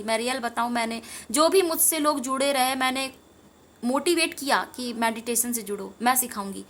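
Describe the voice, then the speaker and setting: A woman's voice: fairly high pitch, fairly bright tone. A woman giving an informal spiritual talk to viewers, earnest and rambling, repeating phrases for emphasis. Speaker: tarot reader and meditation teacher.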